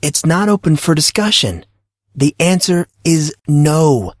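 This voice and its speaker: An earnest, stubborn teenage boy played by a male narrator in a storybook reading, pitched well up from a man's natural voice. He protests with baffled, halting incredulity, and the sound is close and dry. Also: lively intonation, dry recording. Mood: firm, weary. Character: exasperated, earnest teenage schoolboy, naive and stubborn.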